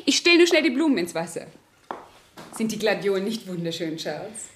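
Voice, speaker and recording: An actress playing a poised, composed housewife making polite conversation in a radio play. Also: female voice, medium pitch, sing-song, a little fast, a little hiss or hum, natural voice. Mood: composed, sociable. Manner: polite, composed, domestic small talk.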